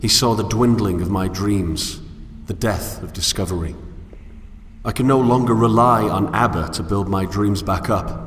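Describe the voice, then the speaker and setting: An actor playing a melancholy, introspective wanderer who narrates a game in a measured, reflective, literary manner. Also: male voice, on the low side, slight background noise, natural voice. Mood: sorrowful. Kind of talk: scripted poetic game narration.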